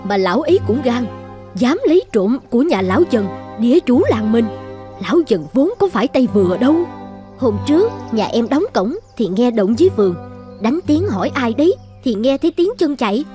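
A woman's voice: fairly high pitch, big pitch swings, brisk, dark tone, faint music behind. A woman voicing a wary, chatty village gossip in a cartoon folk tale. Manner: gossipy, conversational. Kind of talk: animated folk tale dialogue.

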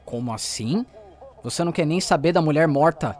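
A man's voice: a little high, lively intonation, fairly quick, darkish tone, faint music behind, close to an everyday voice. An actor playing a shaken, insistent young male journalist in an audio drama, urgent and persuasive. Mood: tense.

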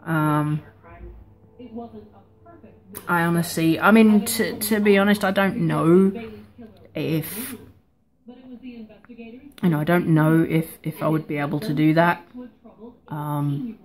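A woman's voice: deep voice, slow, pauses often. A woman, chatting casually to camera in a hesitant, rambling way in a living room. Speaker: vlogger. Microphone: camera or webcam at arm's length.

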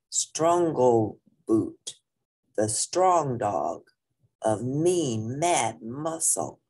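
A woman reading a children's picture book aloud in a theatrical, deliberate way with playful emphasis. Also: extremely low pitch, darkish tone, breathy edge. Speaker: storybook reader.

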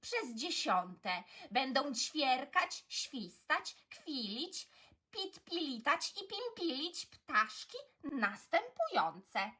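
A woman narrating a children's poem in an expressive, theatrical style with deliberate phrasing. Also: high voice. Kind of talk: expressive children's poetry reading.

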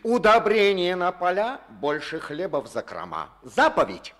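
A man's voice: high voice, huge pitch swings, unhurried, fairly bright tone, exaggerated voice. An actor playing a domineering, folksy Soviet party boss who bosses and mocks his deputy in a radio drama. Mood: scornful. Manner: bossy, blustering, folksy. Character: blustering domineering male party district boss.

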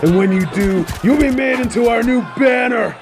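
Man acting a creaky, sneering bandit boss. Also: extremely high pitch, moderate pace, shouting, music in the background. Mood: gloating. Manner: taunting, menacing, theatrical. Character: brutish, sneering bandit leader. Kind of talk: scripted audio roleplay. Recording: battle crowd and clear noise behind.

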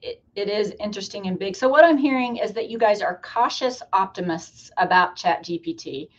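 A woman's voice: mid-range voice, a little fast. A woman hosting and moderating a panel discussion over a video call. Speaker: host, college administrator moderating a panel. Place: office, on a group video call. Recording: slightly dull video-call audio.

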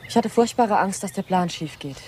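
A woman's voice: mid-range voice, animated, a little fast, dark tone, lightly acted. An actress playing an anxious, defensive woman being questioned in a German crime radio drama. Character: anxious woman under questioning, a gangster's mistress. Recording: radio drama with background ambience noise.

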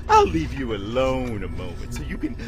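A man's voice: mid-range voice, wildly swooping pitch, normal speed. A man voicing a sinister, gleeful ice cream seller who kidnaps children, taunting in a theatrical sing-song in a video game. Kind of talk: video game cutscene villain acting.

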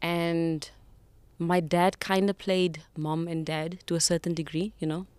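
A woman in her thirties with a South African accent, a guest telling her story in a podcast studio, lively at times and quiet when serious. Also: on the low side, measured pace, clear, resonant tone, no echo. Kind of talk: casual interview conversation. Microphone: boom mic on desk.

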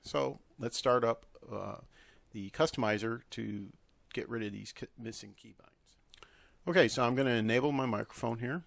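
Male tutorial presenter, gravelly, halting and unscripted, in a dry close recording. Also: mid-range voice, dry recording.

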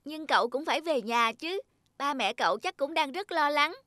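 A woman voicing an earnest, childlike little talking household object in a children's cartoon, with an expressive manner. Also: high-pitched, moderate pace, no echo, studio quality. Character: childlike talking cup and flashlight, eager, easily frightened young friends. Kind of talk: dubbed children's cartoon dialogue.

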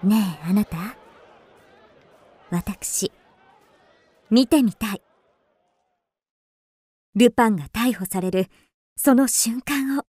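A woman's voice: medium pitch, slow pace, pauses often, a little mellow, breathy edge. A woman playing a curious young lady passenger on an ocean liner in a radio drama. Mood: curious, coy.

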